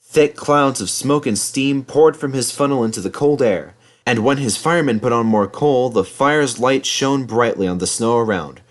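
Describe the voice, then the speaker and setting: A man with a medium-pitched voice reads a children's story. His narration is measured, with a dramatised storyteller's delivery. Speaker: storybook reader.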